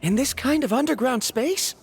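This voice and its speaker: An actor playing a precise, analytical young scholar in a video game, explaining things in a mildly exasperated way, with faint game music behind. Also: male voice, very high-pitched, animated, moderate pace.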